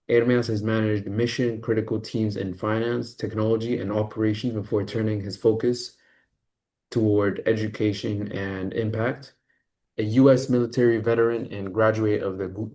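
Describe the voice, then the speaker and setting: A man's voice: on the low side, warm tone. A man, speaking as the host of an interview podcast. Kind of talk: podcast hosting, scripted introduction and questions.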